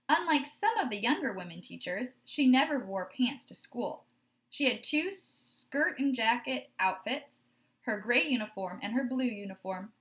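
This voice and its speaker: Female teacher, read-aloud narration for children. Bright, crisp-edged tone, medium pitch, emphatic and measured delivery.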